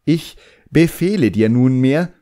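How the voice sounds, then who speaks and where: A man's voice: mid-range voice, animated, measured pace, mellow, voice pushed up, lightly acted. Man acting a pompous, angry boy apprentice magician. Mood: angry commanding. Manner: stiff, pompous, commanding.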